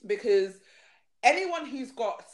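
Brief: young South London woman, podcast guest, blunt and animated storyteller. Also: a little high, takes it slowly. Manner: frank, swearing, laughs a lot. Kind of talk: casual chat.

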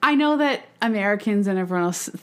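A woman's voice: medium pitch, animated, fast talker, clear, resonant tone. A woman with a Canadian accent chatting casually as a podcast co-host. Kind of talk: casual chat.

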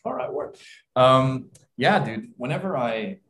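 A young man from Turkey who leads a casual chat over a video call in a relaxed, chatty way. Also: mid-range voice, unhurried, creaky. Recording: echoey room with faint noise.

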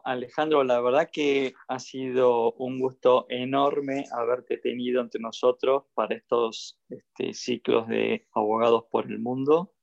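Gravelly Argentine male interviewer. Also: mid-range voice. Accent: Argentine Spanish. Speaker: podcast host, interviewer. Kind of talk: casual conversational interviewing. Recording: dull lo-fi audio.

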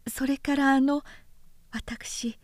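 A woman reader plays a young maiden in a period tale. She is gentle and discreet, speaking politely in a soft, hushed voice, recorded very dry. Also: high-pitched, fairly even intonation, very slow, dry recording, voice pushed up. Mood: quiet, confiding. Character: gentle, discreet young samurai-era daughter. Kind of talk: storybook character reading.

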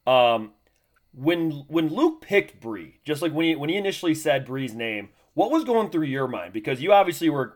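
A man co-hosting a casual interview, asking long, rambling questions full of fillers. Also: medium pitch. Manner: relaxed, drawn-out questions with many fillers. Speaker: co-host.

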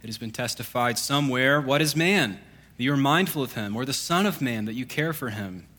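A man in his thirties, a pastor, reading scripture and then preaching in a relaxed, joking, conversational way with fillers. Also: mid-range voice, fairly quick. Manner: self-deprecating jokes, conversational with fillers. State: congested.

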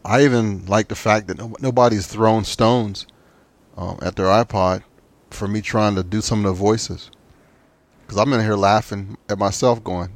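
A man with a fairly low voice and a Southern US accent hosts a podcast interview. He talks informally and appreciatively, as in a casual chat with a friend. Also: dry recording.

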